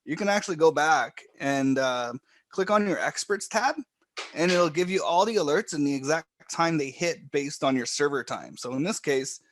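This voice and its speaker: A man calmly walking viewers step by step through charts and trading software on a live stream. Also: on the high side, moderate pace, slight background noise. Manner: calm, explanatory, step-by-step. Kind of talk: live screen-share trading walkthrough.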